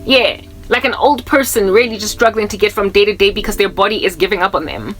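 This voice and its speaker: A woman's voice: mid-range voice, fast, a bit bright, soft background music, poor audio. South African woman in her thirties preaching. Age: 30s. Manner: rambling, repeats herself, mixes in Zulu words, groans to mimic old people. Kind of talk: casual spiritual talk to camera.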